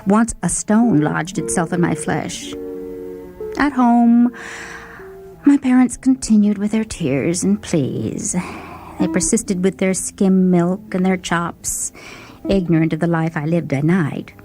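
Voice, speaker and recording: A woman performing a short story as an obese, food-obsessed young woman and devoted radio fan, confiding and savoring her words with dramatic pauses. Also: lowish voice, dark tone. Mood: matter-of-fact, wry, then complacent, confiding. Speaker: story reader. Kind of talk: dramatic first-person short story reading. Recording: music bed under the voice. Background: instrumental music with plucked guitar.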